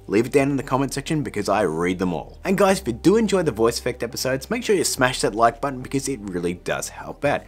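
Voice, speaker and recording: A man in his thirties hosting a step-by-step video tutorial in an upbeat, chatty, informal way, talking straight to viewers. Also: mid-range voice. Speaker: host, video tutorial presenter.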